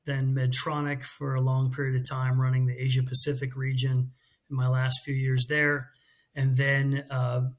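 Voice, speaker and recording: A man's voice: medium pitch, completely flat delivery, normal speed. A middle-aged American man, a company CEO, explaining his work fluently in a business interview. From United States. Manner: fluent, explanatory, with some restarts.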